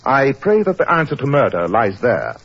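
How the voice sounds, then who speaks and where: Incisive English detective, animated delivery. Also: male voice, medium pitch, normal speed, vocal fry, breathy edge, full character voice. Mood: assured, analytical. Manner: incisive, precise, commanding. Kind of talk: 1940s radio acting. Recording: dull old 1940s radio recording.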